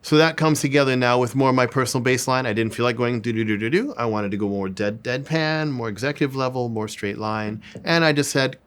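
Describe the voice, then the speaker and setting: A man's voice: medium pitch, fast, hardly any pauses, a bit bright, clear, resonant tone. A man giving an informal sales coaching lesson, repeating words and phrases for emphasis. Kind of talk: informal instructional talk with demonstrations.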